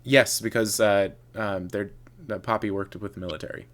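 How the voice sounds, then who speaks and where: A man's voice: lowish voice, steady tone, normal speed, a bit bright. A man hosting a podcast, asking casual, loosely phrased questions with many fillers. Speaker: podcast host.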